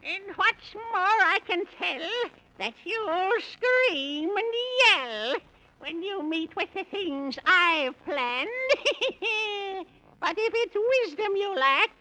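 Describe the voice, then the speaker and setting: Shrill, cackling old witch. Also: expressive, slow, pushed and bright, exaggerated voice, poor audio. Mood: menacing, gloating. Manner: taunting, rhyming threats. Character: gloating, threatening old witch with a cackling laugh. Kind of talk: 1930s children's radio serial acting. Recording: old 1930s radio recording.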